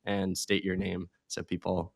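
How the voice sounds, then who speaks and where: A man hosting a podcast, asking questions and telling stories in a relaxed, rambling way. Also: low-pitched, a little slow, vocal fry, no room sound, studio quality. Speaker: podcast host. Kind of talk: casual interview.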